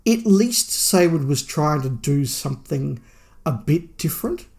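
A man's voice: a little high, animated, a little slow, vocal fry, a little mellow. A man co-hosting a podcast, giving opinionated, emphatic views in a casual review discussion.

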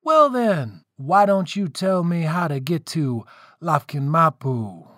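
Huge fire-spirit villain for a myth story, performed by a man: sardonic, smug, very lively pitch. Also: slow, vocal fry, breathy edge, no echo, clean studio sound, heavily acted. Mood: menacing sarcasm. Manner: sarcastic, smug.

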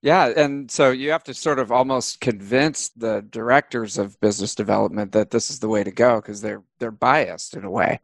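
A man's voice: mid-range voice, normal speed, gravelly, hardly any pauses, dry recording. A man hosting an interview podcast, easygoing and joking, stumbling a bit as he asks questions. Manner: easygoing, joking, stumbles and restarts while asking. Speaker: podcast host. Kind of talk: casual interview chat.

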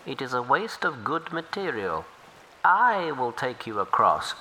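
A man's voice: mid-range voice, big pitch swings, unhurried, a little hiss or hum, rough recording. A male storyteller voicing a huge, grateful old river turtle who speaks humbly and earnestly. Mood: humble, earnest. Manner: humble, soft-spoken, earnest.

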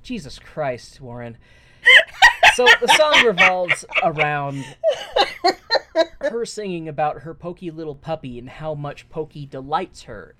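A man's voice: fairly high pitch, pushed and bright. A man with a light, boyish voice co-hosting a casual comedy podcast, reading a story aloud and breaking in with swearing, mocking asides. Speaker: podcast co-host. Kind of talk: casual comedic recap chat.

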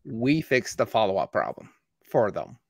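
A man's voice: on the low side, normal speed, creaky, no room sound. Matter-of-fact man, dry close sound. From born in Iran, raised in Canada. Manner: steady, matter-of-fact storytelling. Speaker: marketing agency owner. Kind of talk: casual conversation.

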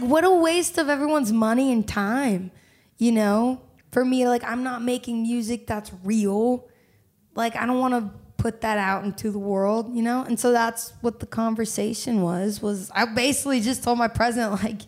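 Young woman, higher-pitched voice, candid, animated, laughs often, casual interview talk. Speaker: singer-songwriter guest.

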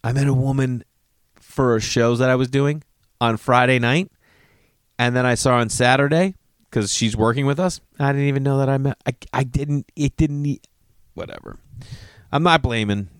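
A man's voice: mid-range voice, normal speed, clear, resonant tone, dry recording. Rambling man in his forties, dry close sound. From Massachusetts. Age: 40s. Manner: digressive, self-correcting, swears casually. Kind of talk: rambling personal story.

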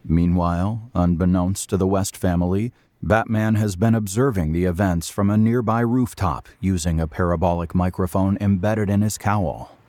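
A man's voice: deep voice, steady tone, normal speed, warm tone, no room sound, close to an everyday voice. A man giving solemn, scripted narration as the storyteller of a superhero audio drama.

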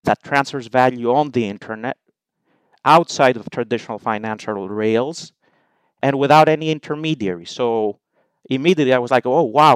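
Greek guy talking investing, smooth clear voice, dry close sound, orderly points. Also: mid-range voice, no echo. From Greece. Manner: measured, explains in numbered points. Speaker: investment allocator, guest. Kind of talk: interview answers.

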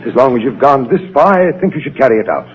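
A man's voice: mid-range voice, very animated, fast, highs cut off, slight background noise, natural voice. A man playing a defensive, guilty museum villain in a 1930s radio drama, with an indignant, theatrical delivery. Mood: persuasive. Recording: echoing old 1930s radio recording.

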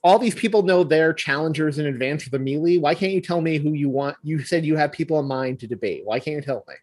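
A man's voice: on the high side, quick pace, darkish tone. A man co-hosting a podcast in casual group banter, repeating phrases for emphasis. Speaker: podcast co-host.

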